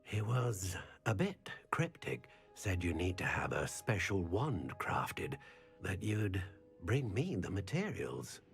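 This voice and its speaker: Intrigued elderly craftsman wizard: lively melodic delivery, wry and polite, thinking aloud in a fantasy game. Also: low-pitched, slow, creaky, full character voice. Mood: courteous. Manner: musing, courteous, wry. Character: intrigued, courteous old master wandmaker. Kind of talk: cinematic fantasy game acting. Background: music.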